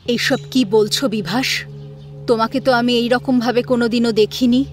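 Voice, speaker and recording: A woman's voice: a little high, fairly even intonation, normal speed, darkish tone, soft background music, lightly acted. A woman playing a troubled, mild family matriarch in an audio drama, speaking with anxious reproach. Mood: dismayed. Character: troubled, mild mother-in-law, dismayed matriarch. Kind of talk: Bengali audio story acting.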